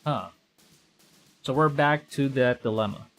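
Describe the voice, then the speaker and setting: A male game streamer from the Philippines with a mid-pitched voice reads a visual novel aloud. He moves between dramatic narration and muttered asides. Game music plays behind him. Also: a little slow, a bit bright. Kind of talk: game stream, reading story text aloud with reactions.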